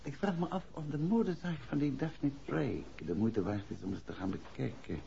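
An actor playing an urbane, teasing husband and amateur detective in a 1960s Dutch radio play, jovial and musing with small hesitations. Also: male voice, mid-range voice, moderate pace, gravelly, warm tone, slight background noise, close to an everyday voice. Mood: dry teasing. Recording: dull vintage radio recording, music behind.

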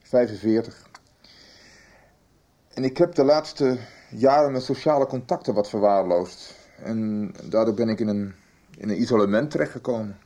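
An actor playing a lonely, guilt-ridden middle-aged widower, speaking haltingly in a naturalistic radio soap. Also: male voice, fairly low pitch, dark tone. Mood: heavy-hearted. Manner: halting, careful.

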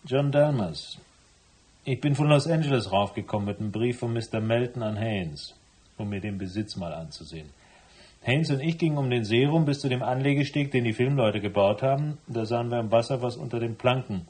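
An actor playing a cool, laconic private investigator who narrates his case in a radio drama, with a husky voice. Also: mid-range voice, a little fast, darkish tone, lightly acted. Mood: matter-of-fact. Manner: laconic, matter-of-fact.

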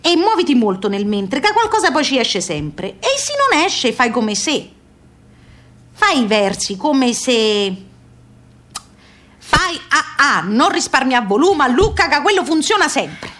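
Emigrant mother, southern Italian accent, somewhat high pitch, proud, bossy, folksy, emphatic repetition, radio theatre. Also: big pitch swings, a little slow, fairly bright tone, full character voice. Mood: bossy, advising. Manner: emphatic, repetitive, folksy dialect-tinged phrasing. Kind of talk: stage-like radio theatre monologue and dialogue.

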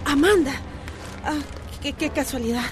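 An actress plays a timid, embarrassed wife in a Latin American radio soap. She speaks high and haltingly, tripping over her words. The background is clearly noisy. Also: lightly acted. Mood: flustered. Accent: Latin American Spanish. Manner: hesitant, stammering. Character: Vicky, timid, embarrassed, apologetic neighbourhood wife. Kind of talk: radio soap opera acting.

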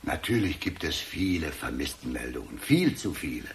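A talkative police chief for a radio play, low pitched, swings up and down a lot, placating and dry. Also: male voice, very animated, vocal fry, slight background noise, exaggerated voice. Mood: measured, patronizing. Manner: measured, wry, placating. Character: composed, talkative, wryly condescending male police chief inspector. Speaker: actor. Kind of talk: German radio drama acting.

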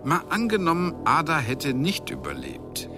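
An exasperated, reproachful male angel in a radio drama. His intonation swings very widely at a medium pitch, with clear music behind him. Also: wildly swooping pitch, moderate pace.

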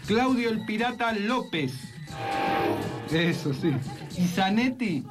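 A man with a Buenos Aires accent co-hosting a radio show, reciting slowly and theatrically with music behind him. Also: sits high, expressive. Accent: Argentine, Buenos Aires. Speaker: radio co-host. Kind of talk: slow dramatic roll call of names over music.

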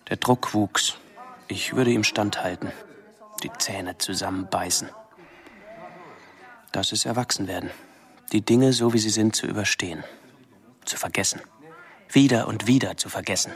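An actor playing a withdrawn, guilt-ridden young man, recounting his memories haltingly and introspectively in a radio drama monologue. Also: lowish voice, a bit bright. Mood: resigned. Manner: slow, halting, introspective delivery in short phrases.

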